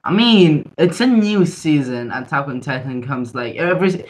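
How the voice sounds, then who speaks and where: A man's voice: a little high, expressive, moderate pace, poor audio. A man co-hosting a podcast in a casual chat. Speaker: podcast co-host.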